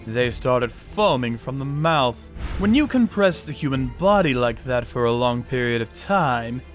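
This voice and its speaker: Slow, cold-voiced man narrating. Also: medium pitch, lively intonation. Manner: cold, sadistic, unhurried. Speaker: narrator and torturer character. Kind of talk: manga dub narration. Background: faint music.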